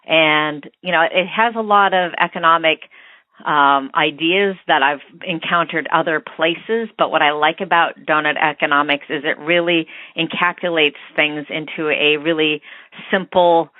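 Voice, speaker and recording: An American woman leaving an informal opinion message as a listener calling in, halting as she thinks aloud. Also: deep voice, steady tone, no room sound. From United States. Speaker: podcast listener leaving a voicemail. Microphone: phone. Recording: tinny phone-line voicemail.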